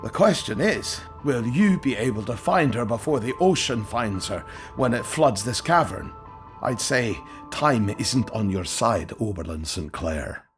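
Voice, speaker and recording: A man playing a gruff, sardonic barkeeper in an audio drama, with a folksy, colorful way of talking. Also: mid-range voice, animated, measured pace, soft background music, natural voice. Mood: matter-of-fact, knowing. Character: gruff, wry male barkeeper, an immortal trading favors.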